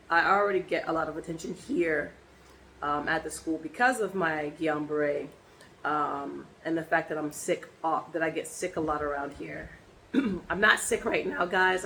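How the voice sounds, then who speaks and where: A woman with a hoarse voice from laryngitis, chatting casually in a personal vlog and rambling with many ums and restarts. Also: sits low, normal speed, a bit bright, a little hiss or hum, poor audio. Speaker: vlogger.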